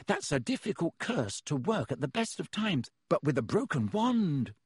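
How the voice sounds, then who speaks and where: Man putting on a clever teenage schoolgirl, anxious, earnest, raised pitch, husky, dry. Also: big pitch swings, normal speed, no echo, full character voice.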